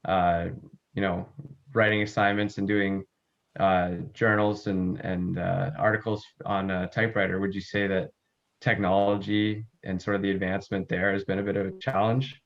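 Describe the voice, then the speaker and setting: A man's voice: low voice, level tone, moderate pace. A young man co-hosting a podcast, putting an interview question to a guest in a conversational way. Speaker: student podcast co-host. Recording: dull, lo-fi audio.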